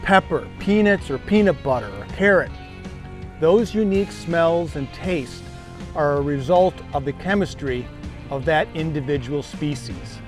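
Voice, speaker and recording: A man hosting a gardening show, giving steady, scripted, explanatory narration. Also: a little high, unhurried, music in the background.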